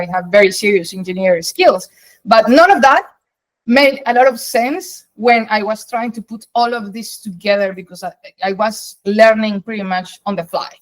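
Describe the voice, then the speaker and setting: Guest, woman, Colombian accent, native Spanish, middle pitch, emphatic explainer. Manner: emphatic, explains in long flowing runs with rephrasings. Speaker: guest, data science executive. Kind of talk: interview answers, conversational.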